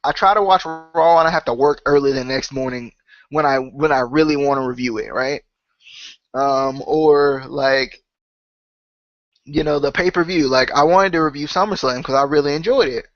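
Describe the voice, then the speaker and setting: A man with a head cold talks casually to his podcast listeners in a nasal, blocked-up voice that sits slightly high for a man. He rambles, circling back with fillers and restarts. The sound is dry and a little muffled, like a cheap home mic. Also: normal speed, no room sound. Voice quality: nasal, congested. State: stuffed up with a cold. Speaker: podcast host. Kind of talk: casual solo chat to subscribers.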